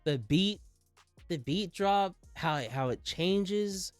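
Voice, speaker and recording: A man's voice: sits high, lively intonation, slow pace, no echo. A man hosting a music reaction video in casual, slangy commentary, enthusiastic and often repeating himself.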